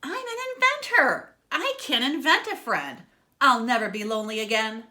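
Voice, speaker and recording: A woman voicing a lonely, excitable pig inventor from a children's storybook, with dramatic exclamations. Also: on the high side, moderate pace, voice pushed up. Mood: excited.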